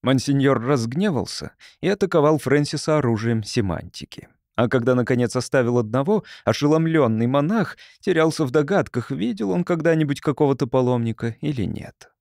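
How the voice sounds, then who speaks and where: A man's voice: mid-range voice, expressive, brisk, vocal fry, few pauses, a little mellow, no room sound, studio quality. A man reading literary prose as a scripted audiobook narrator.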